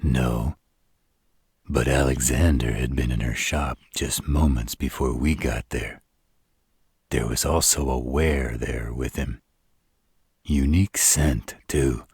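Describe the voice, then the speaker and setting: Man doing a gravel-low, blunt shapeshifter bodyguard. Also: fairly even intonation, slow, long pauses, a little mellow, no echo, clean studio sound, full character voice. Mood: matter-of-fact. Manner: blunt, colloquial, sardonic. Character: gruff, wisecracking male shapeshifter bodyguard, loyal but exasperated. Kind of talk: roleplay audio drama.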